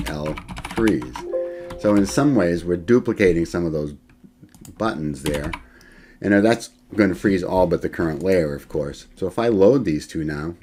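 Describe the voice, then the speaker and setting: A male college instructor giving a screen-recorded software lecture, talking in a relaxed way as he demonstrates and thinks aloud, with fillers and self-corrections. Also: sits low, a little slow, a little hiss or hum. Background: keyboard typing.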